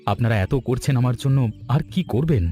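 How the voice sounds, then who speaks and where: A man's voice: medium pitch, brisk, warm tone, soft background music, lightly acted. A man playing a polite, secretive city guest in a cartoon story, speaking hesitantly. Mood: reflective, polite.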